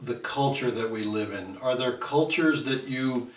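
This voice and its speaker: A man's voice: medium pitch, unhurried, far from the mic. A man in the audience putting a hesitant, tentative question to the teacher. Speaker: audience member asking a question. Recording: roomy sound from away from the mic.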